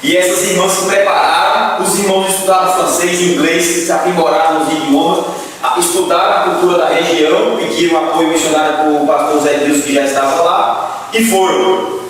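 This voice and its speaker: Brazilian man, church teacher, earnest and repetitive, slightly high voice, distant mic. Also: steady tone, moderate pace, fairly bright tone. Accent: Brazilian Portuguese. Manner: earnest, repeats phrases, addresses listeners as brethren. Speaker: Sunday school teacher and deacon. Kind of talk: church class announcements, prayer requests. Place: church classroom. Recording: echoey hall, distant mic, faint noise.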